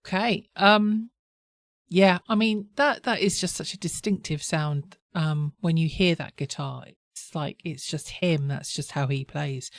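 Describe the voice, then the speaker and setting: A woman's voice: deep voice, a little slow, no echo, studio quality. A woman hosting a reaction video, talking in a rambling, self-correcting chatty way while stuffed up with a cold and a sore throat. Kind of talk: casual chatty commentary.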